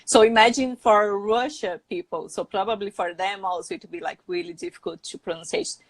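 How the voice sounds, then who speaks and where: A woman's voice: medium pitch, fairly quick, no room sound. A woman with a Brazilian accent, a native Portuguese speaker, hosting a casual English-learning live chat.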